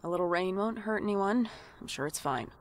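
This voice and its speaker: A woman's voice: medium pitch, expressive, fairly quick, lightly acted. A woman playing a wry, jumpy night-shift shop worker who narrates an audio drama in a dry, sardonic way. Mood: dry, unimpressed. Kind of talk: audio drama acting with first-person noir narration. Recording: music bed behind the voice.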